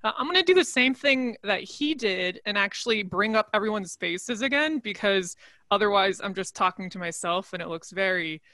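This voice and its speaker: A woman comedian and science communicator doing stand-up from home on a video call, chatty and upbeat with quick asides. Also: mid-range voice, animated, sharp tone, dry recording. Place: home during quarantine. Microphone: computer mic on a video call.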